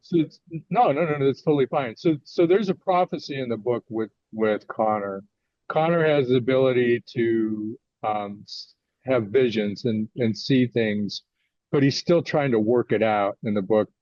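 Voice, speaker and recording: An older American man answering interview questions as a guest author, conversational and a little halting as he thinks his answers through. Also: medium pitch, no echo. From United States, California. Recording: dull lo-fi audio.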